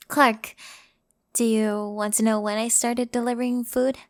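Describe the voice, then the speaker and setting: A woman's voice: a little high, fairly even intonation, slow pace, no room sound, studio quality. A woman playing an insecure, easily flustered young delivery girl in an audio roleplay, stammering and emotional. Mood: wounded. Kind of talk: scripted audio roleplay acting.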